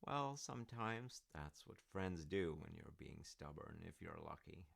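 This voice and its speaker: Deep, slow, gravelly storytelling dad, played by a man. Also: no echo, studio quality, heavily acted. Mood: wry, amused. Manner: rambling, halting, with wry asides. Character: warm, rambling father telling his child Norse myths. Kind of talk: audio roleplay, intimate storytelling.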